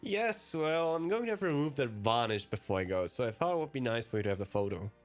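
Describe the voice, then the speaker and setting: A man voicing an eager, polite human who pampers a cat in a visual novel, in a gentle, coaxing manner. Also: mid-range voice, expressive, fast, close to an everyday voice. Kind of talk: acted reading of a visual novel. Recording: music behind the voice.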